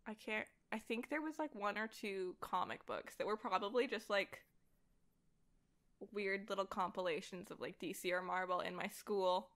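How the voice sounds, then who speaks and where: A young woman with a bright, forward voice. She talks casually and animatedly, rambling through her thoughts with lots of "like", as a guest in a relaxed conversation. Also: medium pitch, moderate pace. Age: young adult. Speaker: podcast guest, voice actor. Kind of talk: casual conversation about comics.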